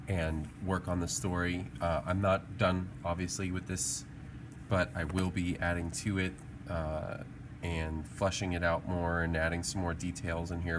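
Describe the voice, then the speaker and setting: A man giving a casual drawing tutorial, thinking aloud in an informal, encouraging, musing way as an art teacher. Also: sits low. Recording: clearly audible background noise.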